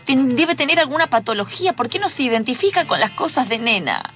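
A high-pitched, doting mother who is strict and old-fashioned, played by a woman. She speaks in an improvised radio drama over background music. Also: animated, fast, a bit bright. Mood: worried.

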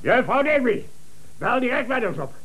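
An actor playing an irritable, bossy company boss in a comic radio play. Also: male voice, extremely high pitch, big pitch swings, moderate pace, noisy background, exaggerated voice. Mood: exasperated. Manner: exclaiming, bossy. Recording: 1970s radio play recording.

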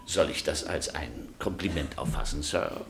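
An actor playing a formal, guarded hotel owner in a radio drama, servile on the surface and suspicious beneath. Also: male voice, extremely low pitch, normal speed, vocal fry, a little hiss or hum.

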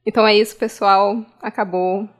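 A woman's voice: mid-range voice, little pitch movement, moderate pace. A woman with a Brazilian accent co-hosting a podcast, speaking in a casual sign-off style. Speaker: podcast co-host.

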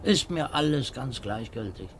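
A man plays a despairing emigrant in a 1950s radio drama. He speaks at a middling pitch with listless resignation. There is faint noise on the old recording. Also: lively intonation, unhurried, natural voice. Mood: resigned, despairing. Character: despairing, indifferent male stowaway emigrant who gave up.

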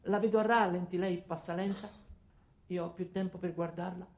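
An actor playing Alfredo, an ordinary weary man confiding his story haltingly in a theatrical radio monologue. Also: high-pitched, fairly even intonation, a little mellow. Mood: reflective, weary. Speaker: monologue narrator. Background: music.

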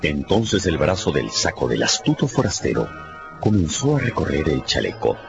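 A male narrator with a low voice tells a scripted radio story. His delivery is dramatic and lively in intonation. Music plays behind him. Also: moderate pace, creaky, dull audio.

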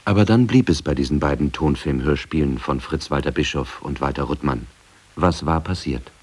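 Male feature narrator, low and husky, reading from a script. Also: moderate pace. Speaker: documentary narrator. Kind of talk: scripted radio documentary narration.

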